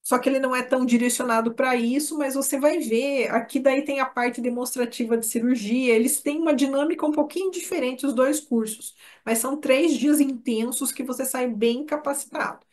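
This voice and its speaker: A woman's voice: high-pitched, fairly even intonation, fairly quick, hardly any pauses, a little mellow. A woman with a Brazilian Portuguese accent, talking informally as a course instructor in a live online webinar, chatting directly with attendees. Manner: chatty, addresses listeners by name.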